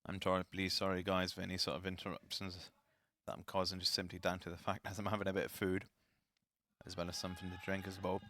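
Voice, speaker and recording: A man casting a live esports match, chatty and self-deprecating, his voice slightly raspy. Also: low-pitched, monotone, fast, a bit bright. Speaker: esports caster.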